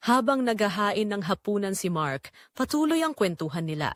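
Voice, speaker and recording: A woman's voice: medium pitch, expressive, normal speed, dry recording, clean studio sound. A woman narrating a scripted dramatic story.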